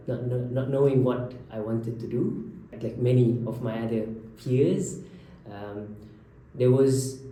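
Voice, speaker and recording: A man's voice: fairly low pitch, warm tone. A man in his thirties from Malaysia, a haematology research fellow introducing himself hesitantly, with many fillers and restarts. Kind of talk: unscripted introduction to camera. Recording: echoey room, from a distance, faint background noise.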